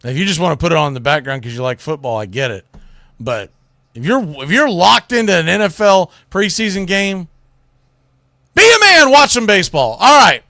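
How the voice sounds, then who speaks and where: A male sports radio host with a slightly high voice, recorded close and dry. He gives casual, colloquial commentary with plenty of opinion, talking directly to the listener. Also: big pitch swings, brisk, gravelly, sharp tone, no room sound. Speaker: sports talk show host.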